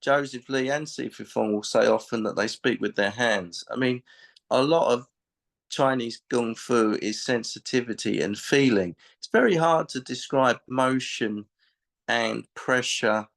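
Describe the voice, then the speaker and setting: A man's voice: mid-range voice, a little slow, vocal fry. A man answering interview questions as a martial arts instructor, explaining his views emphatically.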